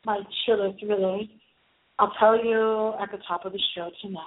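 A woman hosting a paranormal call-in internet radio show in a playful, theatrical, rambling style with drawn-out dramatic delivery. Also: mid-range voice, fairly even intonation. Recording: lo-fi phone-like line with hiss.

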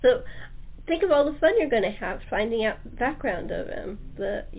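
A woman's voice: a little high, lively intonation, moderate pace, creaky, slightly rough. A woman hosting a casual interview, chatty and given to repeating phrases for emphasis. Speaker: talk show host. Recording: tinny narrow phone-like line.

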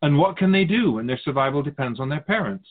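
Radio drama spirit guide, acted by a man: wry, didactic sage, husky and lively in pitch, narrow telephone-like sound. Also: fast talker. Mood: earnest, instructive. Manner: didactic, wry, formal phrasing. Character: Keeper of Souls, wise, wry otherworldly male spirit guide. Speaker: spirit teacher answering questions. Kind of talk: scripted radio drama dialogue, expository.

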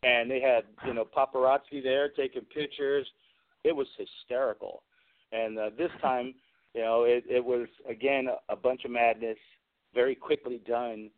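A man's voice: mid-range voice, fairly even intonation, moderate pace. A man chatting casually as an interview guest, telling rambling, amused anecdotes about his work as a prop maker. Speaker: guest, movie special effects and prop maker. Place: remote call into a radio show. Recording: lo-fi, phone-like internet radio call.

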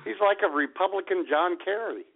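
A man's voice: sits high, fairly quick, creaky. A man co-hosting a comedy radio show with sardonic, casual banter. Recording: tinny, narrow phone-like sound.

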